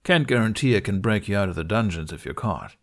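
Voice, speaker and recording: Man playing an exasperated male guardian, fairly low, gruff, sardonic, protective, storybook character. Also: animated, fast. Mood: firm, softening.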